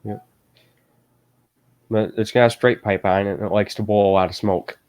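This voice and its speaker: A young man with a fairly low voice joins a livestream as a guest and chats casually. He tells stories in a laid-back way and answers with short agreeing replies. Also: steady tone, normal speed, darkish tone. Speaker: guest, ag class student.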